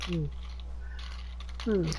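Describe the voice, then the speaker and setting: A man's voice: very slow, mellow, slight background noise. A man playing a tabletop game, thinking aloud as he decides what to do. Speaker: player. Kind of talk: casual tabletop game chat.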